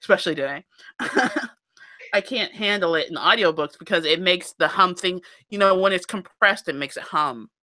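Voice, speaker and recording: A woman with a somewhat nasal voice, co-hosting a live show, chatting in an animated way. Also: lowish voice, moderate pace. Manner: animated, laughs often. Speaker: podcast co-host. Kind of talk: casual chat about audiobooks.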